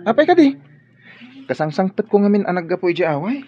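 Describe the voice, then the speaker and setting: A man's voice: high voice, very animated, fast, crowd noise. An actor playing a young male student who talks earnestly and encourages his friend in a radio drama. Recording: dull radio drama audio.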